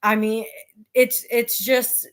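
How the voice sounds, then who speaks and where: Woman, host, loud natural voice, slightly high, slow, casual earnest talk, many fillers. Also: little pitch movement. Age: adult with children. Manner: loud voice by habit, talks slowly and earnestly with fillers. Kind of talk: casual talk about her book.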